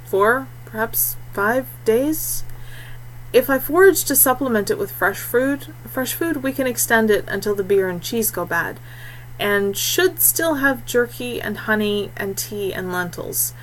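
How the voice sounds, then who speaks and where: A woman's voice: a little high, lively intonation, unhurried, a little hiss or hum, low-quality recording. A woman voicing a good-natured, practical female traveller in an audiobook, explaining things plainly. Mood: easygoing, practical.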